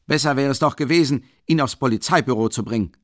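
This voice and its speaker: A man reading a story aloud who voices a petty townsman with a high, strained, insistent tone. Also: fast, a bit bright, no room sound, clean studio sound, natural voice.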